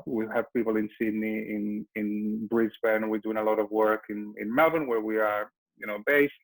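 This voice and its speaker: A man's voice: lowish voice, flat delivery, normal speed, gravelly, poor audio. A middle-aged man from Spain with a Spanish accent, presenting a webinar as a company founder and often restarting and repeating his words. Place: Melbourne.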